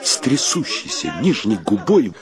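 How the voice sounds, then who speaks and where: Casting: male narrator for radio drama, unhurried, lively pitch movement, literary and theatrical. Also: crowd noise. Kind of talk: dramatic scripted storytelling for radio play.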